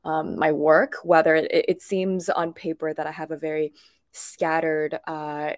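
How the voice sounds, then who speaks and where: A woman with a lowish voice talks as a guest in a conversational interview. She is chatty and expressive as she tells personal stories, and the sound is dry and close. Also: measured pace, dry recording. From Thailand, grew up in Bangkok.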